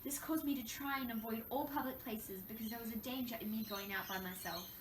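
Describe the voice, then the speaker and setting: A woman's voice: a little high, brisk, close to an everyday voice. A woman playing a wronged young French queen in a scripted interview role play, recounting her troubles in a formal, recited way. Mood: hurt, sorrowful. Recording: echoey room, distant mic, faint noise.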